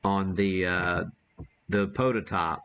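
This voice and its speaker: A man giving a casual live demo as an amateur radio streamer, talking haltingly as he thinks aloud while working the gear. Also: sits low, steady tone, fairly bright tone, clear, resonant tone, muffled recording.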